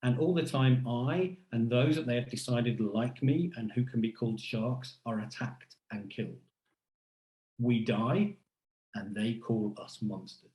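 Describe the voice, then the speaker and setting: Man in a roomy space, deliberate rhetorical delivery. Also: mid-range voice, mellow. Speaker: academic keynote speaker. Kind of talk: scripted first-person lecture narration in the persona of a shark.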